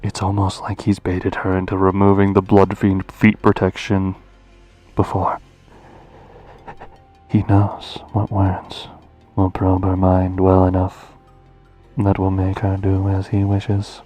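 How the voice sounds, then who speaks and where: A man with a deep, mellow voice comments casually on a game. His delivery is extremely flat and matter-of-fact, and he takes his time. There is faint music in the background. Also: takes it slowly, long pauses.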